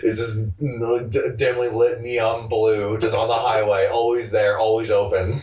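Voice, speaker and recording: Roomy, distant-sounding man, low-pitched, joking podcast host. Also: level tone, a little slow, darkish tone. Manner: sarcastic joking, sweary slang. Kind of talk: casual comedic podcast chat. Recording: roomy echo, distant from mic.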